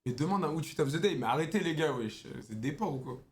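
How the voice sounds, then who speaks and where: Brisk, slangy teasing young man. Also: on the high side, lively intonation. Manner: mocking, slangy banter. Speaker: streamer chatting with strangers on video chat. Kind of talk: casual teasing chat.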